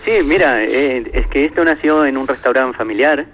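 A man's voice: mid-range voice, moderate pace, fairly bright tone. A man from Argentina with an Argentine accent, talking as a guest about his family's sauce, hesitant and full of fillers and restarts. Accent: Argentine Spanish.

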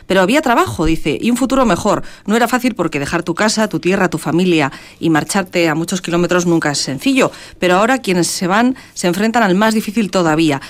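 Woman reading listener messages on radio. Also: lowish voice.

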